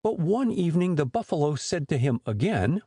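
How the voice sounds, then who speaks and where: A man narrates a storybook in a husky, slightly high voice. His delivery is expressive with lively pitch movement, in the manner of a scripted audiobook. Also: fairly quick, dry recording, studio quality.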